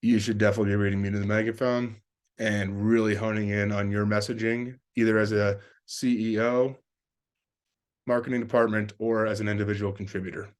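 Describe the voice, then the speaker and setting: A man's voice: lowish voice, level tone, brisk. A man giving an informal spoken review as a sales professional, earnest and rambling with plenty of business jargon.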